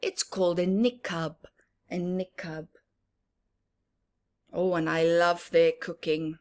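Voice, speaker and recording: Slow-paced woman reading to children. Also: fairly low pitch. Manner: expressive, teacherly, emphatic repetition, questions to the listener. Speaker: children's story time reader. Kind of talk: picture book read-aloud with explanatory asides to children.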